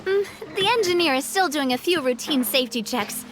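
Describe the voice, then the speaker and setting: A woman playing a spirited young female leader in a video game, earnest and breezy, with expressive delivery. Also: high-pitched, moderate pace, slight background noise. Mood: upbeat.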